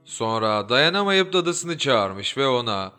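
A man narrating a fairy-tale audiobook in an expressive, lilting storytelling manner. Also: mid-range voice, takes it slowly, clean tone. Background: soft background music.

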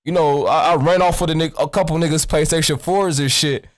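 A young American man with a high voice rambles nonchalantly in street slang. He swears freely as he works a prank call, speaking close into a studio mic. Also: fairly quick. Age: young adult. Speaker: prank caller and streamer. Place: indoors at a streaming desk. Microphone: close studio mic with pop filter, headset on.

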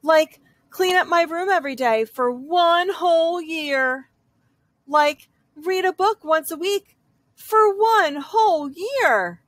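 A woman with a high voice reads a children's storybook aloud. She takes it slowly, stretching key phrases for dramatic effect. The sound is dry, with no room echo. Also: lively intonation, fairly bright tone. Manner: dramatic, drawn-out emphasis on repeated phrases. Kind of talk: expressive children's picture-book read-aloud in a young girl's first person.

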